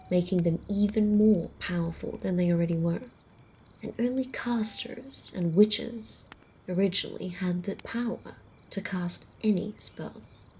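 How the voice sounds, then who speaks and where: A woman playing a calm, ancient female vampire in an ASMR roleplay, telling old lore in a soft, measured half-whisper. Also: on the low side. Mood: solemn, secretive. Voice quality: soft, breathy, often whispering. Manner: measured, deliberate, hushed storyteller. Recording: faint outdoor night noise, crickets.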